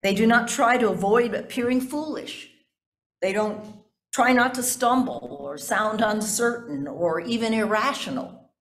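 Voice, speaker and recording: An older woman pastor delivering a sermon from notes in deliberate, measured phrases, with asides to her congregation. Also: on the high side. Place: indoors in a church sanctuary at a pulpit. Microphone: gooseneck pulpit microphone. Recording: echoey church sanctuary.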